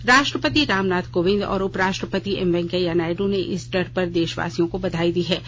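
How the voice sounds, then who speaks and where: A news reader presents a scripted radio bulletin with a formal, measured delivery. The voice sits at a middle pitch. The recording is dull and lo-fi, with faint noise and hum behind it. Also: fairly bright tone.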